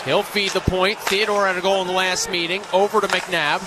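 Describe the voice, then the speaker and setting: High-pitched man calling live hockey. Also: level tone, brisk. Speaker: radio play-by-play announcer. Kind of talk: live ice hockey commentary. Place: arena broadcast position. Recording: noisy live arena radio broadcast. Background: arena ambience and noise.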